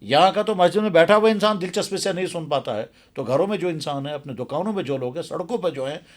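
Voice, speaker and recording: Guy cleric doing a religious explainer, bit higher pitched, earnest and emphatic, repeats himself for stress. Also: expressive, quick pace. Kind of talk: explanatory religious talk in an interview.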